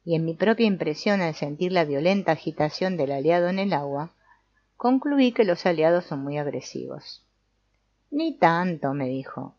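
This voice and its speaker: A woman reads a book aloud as scripted narration, in a measured, didactic way. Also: low-pitched, darkish tone, highs cut off. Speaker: audiobook narrator.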